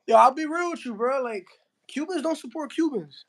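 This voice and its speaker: Young Miami heavyweight, Spanish first language, high voice, slangy casual interview talk full of restarts. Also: male voice, normal speed, fairly bright tone. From Miami, Florida. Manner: slangy, says bro a lot, frequent restarts. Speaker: heavyweight boxer.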